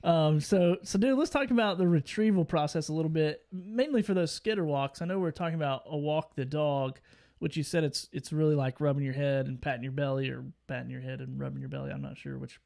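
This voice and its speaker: A man's voice: fairly high pitch, fairly quick, gravelly, darkish tone. Young man asking rambling questions. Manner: asks rambling questions, laid-back, fillers like "dude". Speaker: co-host. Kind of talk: casual podcast chat.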